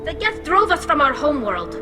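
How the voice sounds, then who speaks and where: A woman's voice: high voice, very animated, brisk, over music. An actress playing an earnest, respectful young female alien in a science fiction video game. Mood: defensive. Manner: earnest, polite. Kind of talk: video game dialogue acting.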